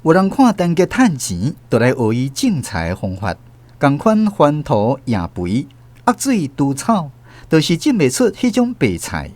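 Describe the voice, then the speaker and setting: 抑揚頓挫的台語男旁白. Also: male voice, fairly high pitch, wildly swooping pitch, measured pace, darkish tone, dry recording. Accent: Taiwanese Hokkien. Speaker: host and narrator. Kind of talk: scripted storytelling narration.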